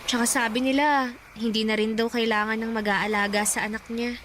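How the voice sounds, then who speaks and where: A timid teenage girl in a radio drama who pleads with her grandmother, voiced by a woman. Her voice sits high. Faint noise hangs behind her. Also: flat delivery, brisk, a bit bright, close to an everyday voice.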